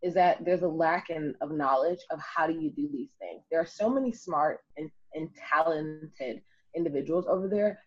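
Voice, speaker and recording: A young adult woman telling her story as an interview guest, lively and emphatic. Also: low voice, normal speed, breathy edge. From United States. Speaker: guest, city councilwoman. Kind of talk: conversational interview. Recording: muffled lo-fi audio.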